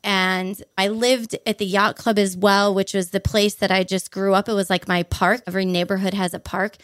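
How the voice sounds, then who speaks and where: A young woman from Hawaii tells her story with bright enthusiasm, piling up vivid details as she goes. Her voice sits at an ordinary middle pitch. The recording is close and dry, with no room sound. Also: flat delivery, normal speed, no room sound. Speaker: podcast guest. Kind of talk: storytelling interview answers.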